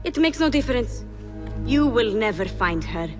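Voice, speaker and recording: A woman's voice: fairly high pitch, sing-song, normal speed, over music. A woman playing a loyal, defiant, cool-headed servant in a video game. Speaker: game character. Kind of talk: dramatic video game acting.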